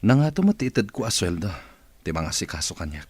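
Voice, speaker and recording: Deep, husky, irritable man, acted out by a man. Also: very animated, a little fast, darkish tone, no echo, exaggerated voice. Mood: curt, impatient. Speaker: actor in an audio drama. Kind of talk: dramatised story dialogue.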